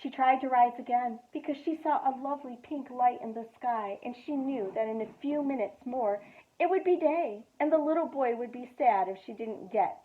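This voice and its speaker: A woman youth director reading a children's storybook aloud, expressive with dramatic pauses. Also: a little high. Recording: tinny phone-like audio.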